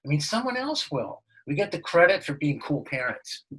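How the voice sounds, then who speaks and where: A man playing an easygoing, boastful sitcom dad with a husky voice in a comedic table read. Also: a little high, sing-song, moderate pace, fairly bright tone, heavily acted, low-quality recording. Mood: easygoing, proud. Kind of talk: comedic table read over video call.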